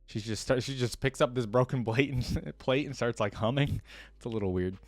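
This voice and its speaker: A man's voice: medium pitch, moderate pace, no echo. A man hosting a reaction video, reading lore aloud and adding casual commentary.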